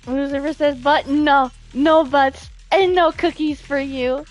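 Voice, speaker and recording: Female game streamer, high voice, unhurried pace, playful reactions, chatty, faint music behind. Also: fairly even intonation.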